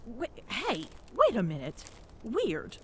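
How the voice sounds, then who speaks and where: A woman's voice: on the high side, huge pitch swings, takes it slowly, fairly bright tone, a little hiss or hum, exaggerated voice. A woman playing a tall Amazonian queen in an intimate ASMR roleplay, smitten and teasing, bossy yet tender, often slipping toward a whisper. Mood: mock indignant. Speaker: voice actor in audio roleplay.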